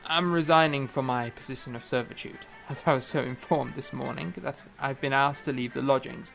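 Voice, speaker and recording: Gravelly, indignant young man, acted by a man. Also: mid-range voice, fairly even intonation, a little fast, a bit bright, soft background music. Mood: stiff, restrained. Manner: formal, wordy period speech. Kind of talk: audio drama period acting.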